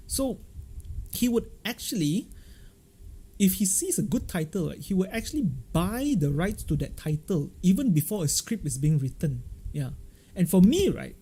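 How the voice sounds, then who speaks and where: A man with a Malaysian accent hosting a casual livestream, chatting and telling stories in an animated, jokey way full of local slang. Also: high-pitched, husky. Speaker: livestream host. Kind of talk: casual live-stream chat and storytelling.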